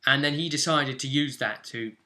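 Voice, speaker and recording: A British man running a tabletop game talks through the session in a casual, storytelling way. His voice is bright and forceful, with an ordinary male pitch. He explains in fragments, building up the scene as he goes. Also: normal speed. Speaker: game master and host. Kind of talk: casual recap of a tabletop role-play session.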